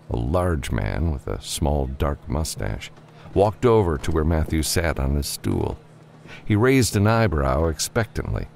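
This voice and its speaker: Very deep, gravelly male storyteller. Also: a little mellow, a little hiss or hum. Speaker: audiobook narrator. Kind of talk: scripted fiction narration with character voices.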